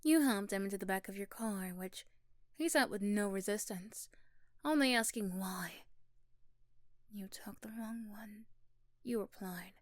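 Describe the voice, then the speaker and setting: A woman reading scripted second-person story narration for ASMR, soft and hushed, often slipping toward a whisper. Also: mid-range voice, normal speed, no echo, studio quality. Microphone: close, dry microphone.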